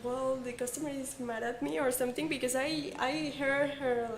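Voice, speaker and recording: A woman from Mexico with a Mexican accent and Spanish as her first language, talking haltingly as a guest and searching for her words. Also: high voice, fairly even intonation, gravelly, roomy sound, slight background noise. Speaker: guest, former call center agent. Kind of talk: casual conversation practice.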